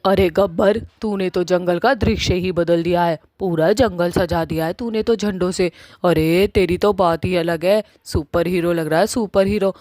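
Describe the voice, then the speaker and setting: A woman's voice: on the low side, steady tone, normal speed, a little mellow, no echo, natural voice. A woman voicing an angry, bossy witch in a comic horror cartoon, scolding emphatically and repeating words. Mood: teasing admiration. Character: angry, foul-mouthed village witch, bossy and threatening. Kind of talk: Hindi cartoon horror-comedy acting.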